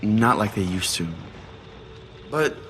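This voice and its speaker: Low-voiced man narrating a comic tale. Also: lively intonation, a little slow, slight background noise. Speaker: narrator, podcast host. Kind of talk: scripted first-person comic storytelling.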